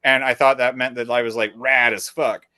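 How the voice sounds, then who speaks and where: A man's voice: medium pitch, level tone, normal speed, bright, forceful tone, dry recording, studio quality. A man co-hosting a podcast in casual conversation, blunt and profane, telling stories with a rambling flow. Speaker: podcast co-host.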